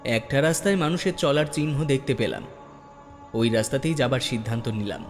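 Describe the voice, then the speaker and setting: A man with a medium-pitched voice tells a ghost story in the first person. His dramatic narration carries a long reverb, with music playing underneath. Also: lively intonation, fairly quick. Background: background music.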